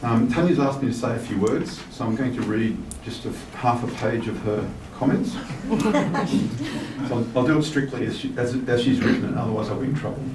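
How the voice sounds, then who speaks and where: A man in his fifties giving a speech, reading a message and adding personal remarks in a relaxed way with "um" fillers. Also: mid-range voice, a little fast. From Australia. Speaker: guest speaker reading a minister's message. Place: large hotel reception hall. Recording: echoey hall from far off with faint noise.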